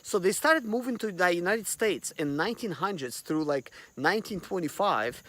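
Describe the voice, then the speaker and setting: A man's voice: high voice, lively intonation, normal speed, bright, forceful tone. A man from Russia, hosting a casual vlog and rambling with lots of fillers. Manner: rambling, full of fillers like "like" and "you know". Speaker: vlog host. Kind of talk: casual chat to camera.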